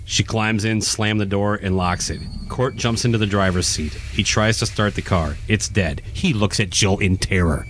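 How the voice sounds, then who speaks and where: A man's voice: lowish voice, normal speed, hardly any pauses, a bit bright, a little hiss or hum. A man reading scripted narration for a horror radio play. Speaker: narrator, podcast host. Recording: music playing behind the voice.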